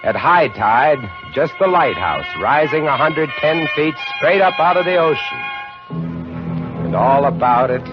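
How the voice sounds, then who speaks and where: Dramatic lighthouse-keeper narrator, old broadcast sound, score underneath. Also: male voice, on the high side, lively intonation, measured pace. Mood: tense. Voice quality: dramatic, vivid storytelling. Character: lighthouse keeper recounting a horror, male, adult. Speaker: narrator and lead actor. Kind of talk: 1950 radio drama narration. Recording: dull old radio broadcast with music.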